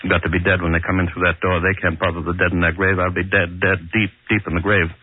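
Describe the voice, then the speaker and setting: A muffled old radio drama. a guilt-ridden middle-aged executioner with a deep, rough-edged male voice. He broods bitterly and repeats his words with melodramatic weight. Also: level tone, fast talker, dry recording. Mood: despairing, brooding. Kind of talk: 1940s radio horror acting, inner monologue. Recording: muffled vintage 1940s radio recording.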